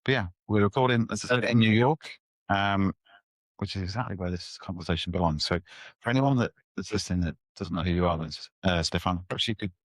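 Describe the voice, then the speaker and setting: Deep-voiced male podcast host. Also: a little fast, dry recording. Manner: friendly, hesitant with fillers. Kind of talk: interview podcast. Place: apartment.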